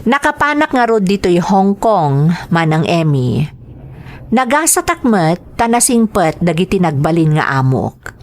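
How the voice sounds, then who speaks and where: A woman playing a betrayed wife who recounts her life in a plaintive, measured way, in dramatized storytelling style. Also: lowish voice, very animated. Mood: sorrowful. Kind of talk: first-person dramatized storytelling.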